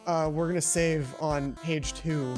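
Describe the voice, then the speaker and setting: Flustered, lower-voiced woman hosting a let's play. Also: fairly even intonation, unhurried. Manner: flustered, exasperated, often breaking off mid-phrase. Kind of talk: reading a visual novel aloud with casual asides. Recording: music playing behind the voice. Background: game music.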